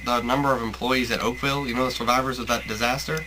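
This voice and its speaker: A quick-speaking sidekick played by a man talks in a plain mid-range voice over clear noise. He sounds loyal and matter-of-fact, in an amateur radio comedy manner. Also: mid-range voice, steady tone, close to an everyday voice. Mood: dry, reporting. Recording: noisy room recording with ambience.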